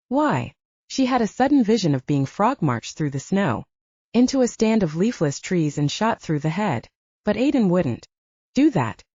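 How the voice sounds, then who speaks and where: Female fiction narrator, expressive range, soft husky tone, dry lo-fi sound. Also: on the low side, dry recording. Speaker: audiobook narrator. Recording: dull, lo-fi, dry studio-like sound.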